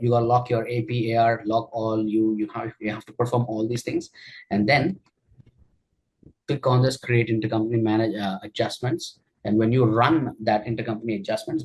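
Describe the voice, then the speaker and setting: A man teaching a software tutorial during a screen share, explaining each step with frequent fillers and check-ins. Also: on the low side, slightly rough, low-quality recording. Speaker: software trainer.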